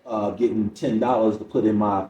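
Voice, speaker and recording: A man's voice: on the low side, steady tone, moderate pace, warm tone, dull audio. A middle-aged man speaking candidly as a comedian guest on a podcast, telling stories and quoting people as he goes. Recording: roomy echo, distant mic, faint noise.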